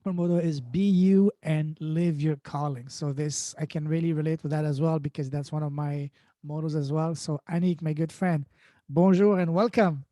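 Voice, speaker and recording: Male podcast host, native French speaker: high, mellow voice, effusive delivery with repeated words. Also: steady tone, moderate pace, no room sound, clean studio sound. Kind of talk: enthusiastic podcast introduction and interviewing.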